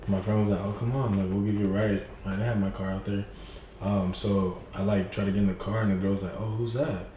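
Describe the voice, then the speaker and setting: A man's voice: a little mellow, far from the mic. A young man on a podcast, chatting casually in slang and acting out quotes with big jumps in his voice. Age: young adult. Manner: slangy, full of "you feel me", acts out quotes with big pitch jumps. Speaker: podcast guest. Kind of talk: casual chat. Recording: roomy, off-mic with faint noise.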